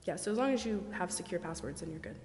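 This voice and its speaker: A woman with a fairly low voice gives an informal, plain presentation to a council. The room is echoey, with a little background noise. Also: lively intonation, fast talker, darkish tone. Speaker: city staff member presenting to a council. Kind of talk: explanatory meeting presentation. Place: council chamber.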